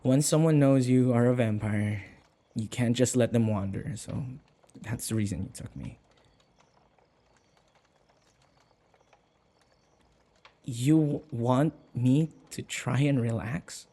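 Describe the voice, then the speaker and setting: A male actor plays a submissive human servant in a vampire audio roleplay. His voice is mid-pitched and mellow, and he speaks slowly and hesitantly. He stammers nervously, sighing and muttering anxious asides as he addresses his mistress. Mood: wary, questioning. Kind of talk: audio roleplay acting, spoken to the listener.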